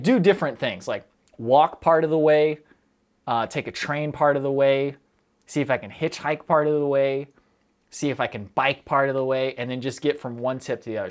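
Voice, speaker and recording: Young guy streaming, talks fast and rambles, keeps cutting himself off, lots of likes and ums. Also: mid-range voice. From United States. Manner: rambling, quick, self-interrupting with fillers and laughs. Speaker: streamer answering chat. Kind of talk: casual live stream chat.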